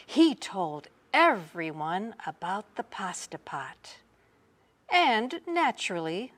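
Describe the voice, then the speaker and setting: A woman reading a children's picture book aloud in an expressive, dramatic storytelling manner. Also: mid-range voice. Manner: unhurried, dramatic storytelling with emphasis. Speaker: storybook reader.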